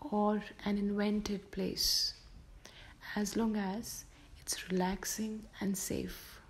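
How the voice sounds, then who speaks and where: A woman softly whispering a guided sleep meditation for children, slow and gentle. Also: mid-range voice. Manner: soft whispered delivery.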